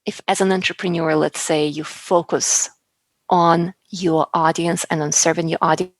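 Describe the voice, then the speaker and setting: A woman's voice: on the low side, little pitch movement, moderate pace. A woman speaking as a public speaking coach and guest in a conversational interview, earnest and emphatic, often repeating words for stress. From outside the US.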